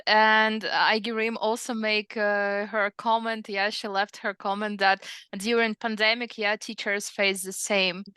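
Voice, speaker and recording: A woman's voice: mid-range voice, sharp tone, smooth, no echo. A woman moderating an online webinar over a video call. She talks in a chatty way and keeps dropping in "yeah".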